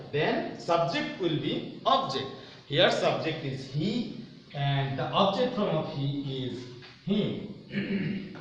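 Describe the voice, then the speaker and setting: A man's voice: a little high, a bit bright. Man teaching in a very echoey room. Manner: repetitive, didactic, step-by-step explaining. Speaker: English grammar teacher. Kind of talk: instructional lesson to students. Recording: very echoey room, distant mic, muffled, faint noise.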